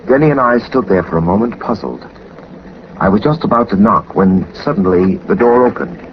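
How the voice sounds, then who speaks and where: A suave gentleman sleuth played by a man in a 1940s radio play, urbane and self-possessed. His deep voice swings expressively through wordy, theatrical lines. The old recording is dull and plainly noisy. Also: animated, normal speed, natural voice. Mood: narrating. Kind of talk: 1940s radio drama acting, first-person narration.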